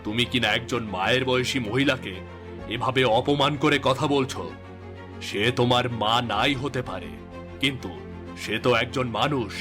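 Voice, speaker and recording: A man playing a middle-aged father and husband in a cartoon drama, with a measured, earnest, admonishing manner. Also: medium pitch, over music, lightly acted. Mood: reproachful. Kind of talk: melodramatic cartoon story acting.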